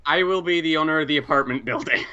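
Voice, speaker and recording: A man's voice: on the high side, quick pace, sharp tone. A man playing in a tabletop game, chatting and joking casually. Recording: dull lo-fi mic, keyboard clatter.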